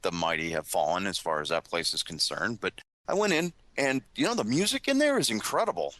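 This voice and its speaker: A man's voice: lowish voice, big pitch swings, fairly quick, fairly bright tone, no room sound. A man in casual conversation as a podcast panelist. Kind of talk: casual chat.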